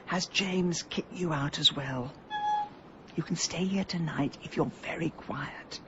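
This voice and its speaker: An actress playing a sharp-tongued, exasperated middle-aged woman in a radio drama, dry, blunt and sardonic. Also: low-pitched, measured pace, dull audio, background noise. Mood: weary, self-pitying.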